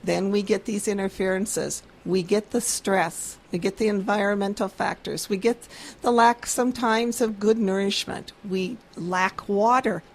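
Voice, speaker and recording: Creaky-voiced woman explaining earnestly. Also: medium pitch, fairly even intonation, unhurried. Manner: earnest, explanatory, laughs easily. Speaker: guest practitioner. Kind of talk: casual radio chat, explaining.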